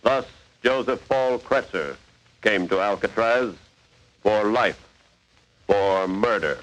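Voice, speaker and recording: A man playing a stern, authoritative narrator of a 1940s radio crime drama, with emphatic, deliberate phrasing. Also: on the low side, fairly bright tone, poor audio. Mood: grave. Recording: vintage 1940s radio broadcast.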